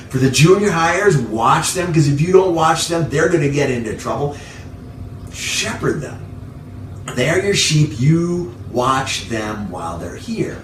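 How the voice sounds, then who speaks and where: A man giving an informal sermon as a pastor, conversational, repeating key phrases for emphasis. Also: a little high. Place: living room at home. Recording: echoey room, distant mic, faint noise.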